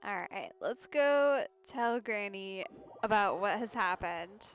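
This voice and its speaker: A woman's voice: medium pitch, lively intonation, takes it slowly, lo-fi sound. A woman giving casual let's play commentary on a video game, with playful, dry asides. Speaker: game streamer. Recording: game music behind the voice.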